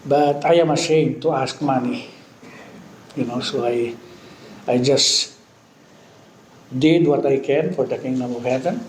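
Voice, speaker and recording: A man in his fifties with a Filipino accent preaching a sermon, often breaking in with amen and hallelujah and pausing on fillers. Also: mid-range voice. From Philippines. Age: 50s. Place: church hall. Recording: echoey church hall with faint background noise.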